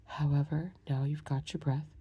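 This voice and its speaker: A woman's voice: low voice, level tone, moderate pace, dark tone, slightly rough. A woman voicing a fussy, faint-hearted old king in a whispered ASMR storybook reading. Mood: fretful. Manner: whispered, fretful.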